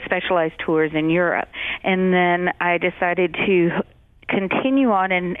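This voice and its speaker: A woman's voice: lowish voice, smooth, narrow, tinny audio. A woman from Georgia, whose first language is German, talking in a chatty way about her travel agency, with many fillers and restarts. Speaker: travel agency owner, guest. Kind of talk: radio interview, casual.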